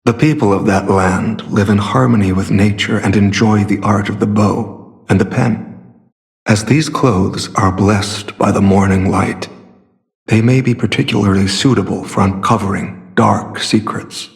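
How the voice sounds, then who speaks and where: A solemn, gravelly masked warrior. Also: male voice, lowish voice, fairly even intonation. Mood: admiring, earnest. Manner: measured, formal, solemn. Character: secretive, earnest masked warrior hunting corrupt alchemists. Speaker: video game voice actor. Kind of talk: scripted game character dialogue.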